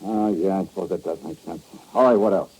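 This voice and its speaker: An actor playing a tough, sardonic American insurance investigator in old-time radio style, with a wry, clipped, hard-boiled delivery. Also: male voice, deep voice, fairly quick, a little mellow, husky, low-quality recording. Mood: skeptical, pressing. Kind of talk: old-time radio detective acting and narration. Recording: old radio recording with faint music.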